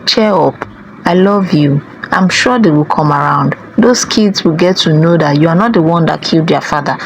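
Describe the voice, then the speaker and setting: A woman's voice: sits low, a little hiss or hum, low-quality recording. A woman voicing a pleading village mother. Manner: soothing, coaxing, repetitive reassurance.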